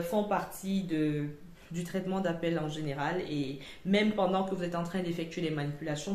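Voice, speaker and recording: A woman presenting an informal lesson in a didactic way, with hesitant phrasing and frequent pauses. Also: on the low side, a little mellow. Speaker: presenter of a customer service channel. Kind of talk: informal instructional talk to camera. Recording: echoey, roomy sound.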